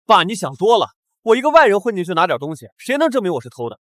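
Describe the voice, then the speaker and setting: A man's voice: high voice, sing-song, rapid-fire, a bit bright, dry recording, studio quality. A man playing a greedy, brash, spiteful young schemer in a short drama. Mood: smug.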